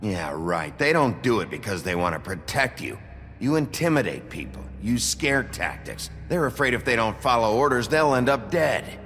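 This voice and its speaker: An actor playing a blunt, sardonic sheriff in a video game, gruff, curt and accusatory. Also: male voice, medium pitch, very animated, moderate pace, fairly bright tone, faint music behind. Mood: scornful. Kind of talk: dramatic video game dialogue.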